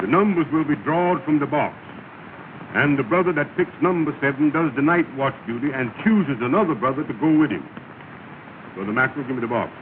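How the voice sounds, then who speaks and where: A man's voice: fairly high pitch, brisk, close to an everyday voice. An actor playing a pompous male lodge leader who presides with grandiose, garbled big words, in 1930s film comedy. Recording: hissy, tinny 1930 film soundtrack.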